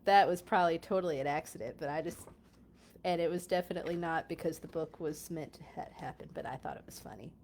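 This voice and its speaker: A woman reading a children's picture book aloud in an expressive, playful way, with chatty casual asides. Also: low voice, quick pace, slight background noise.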